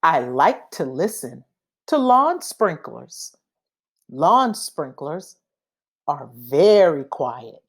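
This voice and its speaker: A woman reading a children's picture book aloud in a deliberate, expressive manner. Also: low-pitched, breathy edge. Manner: deliberate, expressive, acts out sound effects. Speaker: read-aloud reader.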